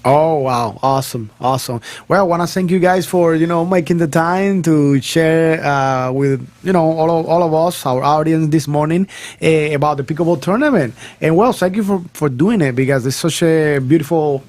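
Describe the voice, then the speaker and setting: A man's voice: on the high side, normal speed, darkish tone. A man hosting a bilingual morning radio show, talking with many fillers and restarts.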